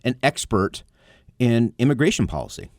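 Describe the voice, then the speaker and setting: A man co-hosting a talk radio show, questioning a guest in an animated way. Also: medium pitch, a little fast, gravelly, no echo, studio quality.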